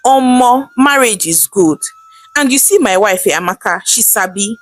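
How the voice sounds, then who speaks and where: Woman as cocky Nigerian husband, animated. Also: a little high, moderate pace, faint music behind. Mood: smug delight. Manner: cocky, bragging banter. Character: boastful, smitten young Nigerian husband.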